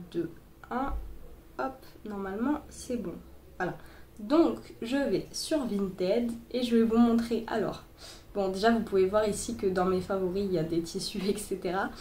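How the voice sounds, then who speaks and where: A woman's voice: medium pitch, darkish tone, a little hiss or hum. Young woman, chatty and rambling, slight cold, roomy phone sound, faint room ambience. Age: young adult. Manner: chatty, many fillers and restarts. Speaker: sewing vlogger. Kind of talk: casual tutorial chat. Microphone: boyfriend's phone.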